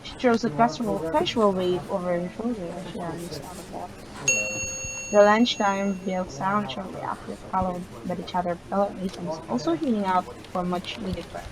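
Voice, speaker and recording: A woman gamer reading a visual novel's text aloud with casual asides, in a halting way that often repeats words. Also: medium pitch, expressive, crowd noise, low-quality recording. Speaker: gameplay video maker. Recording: loud music behind the voice. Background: game soundtrack with piano.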